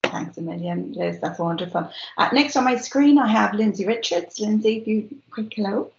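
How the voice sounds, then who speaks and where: A woman's voice: mid-range voice, moderate pace, creaky, a little hiss or hum. A woman hosting an online event, chatty and friendly, with many fillers. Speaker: event organiser and host. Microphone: video call. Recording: echoey, lo-fi video call audio.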